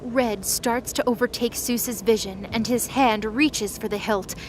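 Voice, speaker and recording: Higher-voiced woman narrating dramatically. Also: fairly even intonation, measured pace, background noise. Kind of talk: dramatic scripted narration of a fantasy audio drama.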